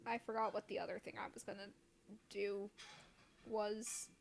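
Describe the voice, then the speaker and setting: A woman's voice: mid-range voice, fairly even intonation, moderate pace, gravelly, slight background noise. A woman chatting casually and jokily as she commentates a live game stream with friends. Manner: playful, jokey.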